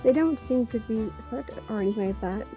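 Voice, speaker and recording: A woman's voice: on the high side, a little fast, mellow. A woman casually co-reading a visual novel as a guest on a game stream. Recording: music behind the voice. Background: game music.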